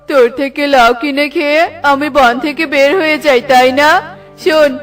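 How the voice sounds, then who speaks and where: A woman plays a spiteful woodland creature in a children's cartoon. The high voice is jeering and dismissive, set over music. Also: takes it slowly. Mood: mocking. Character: mocking, spiteful forest creature named Kalu. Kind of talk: children's cartoon story acting.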